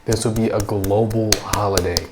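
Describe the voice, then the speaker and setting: A man's voice: lowish voice, steady tone, normal speed, heavy background noise. A man giving casual, wry game commentary with jokey asides. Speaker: gamer reacting to a story quest. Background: game music.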